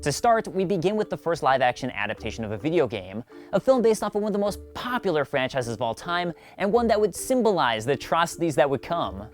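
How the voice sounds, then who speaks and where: A man's voice: fairly high pitch, sing-song, fast. A man hosting and narrating a scripted video essay in an emphatic, conversational presenter style. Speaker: video host. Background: background music.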